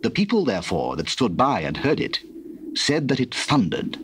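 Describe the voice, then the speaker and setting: Formal male narrator for biblical drama, solemn yet lively in intonation, slight tape noise. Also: mid-range voice, moderate pace.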